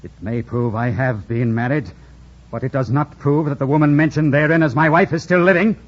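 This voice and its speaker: An actor playing a proud, bitter, commanding gentleman in anguish, imperious and theatrical in an old radio drama style. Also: on the high side, brisk, natural voice. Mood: defiant. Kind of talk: 1940s-50s radio drama acting. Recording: dull, noisy old radio broadcast recording.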